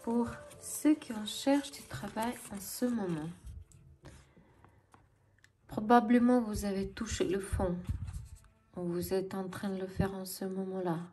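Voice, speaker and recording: A woman with a Ukrainian accent giving a tarot reading to viewers as host, in deliberate, halting phrases. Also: mid-range voice, fairly even intonation, dark tone. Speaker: tarot reader and channel host.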